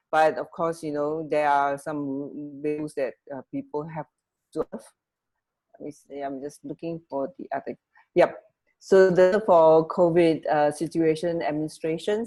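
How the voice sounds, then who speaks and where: Woman, low voice, radio co-host, casual chatty delivery, halting and rambling. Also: a little mellow, poor audio.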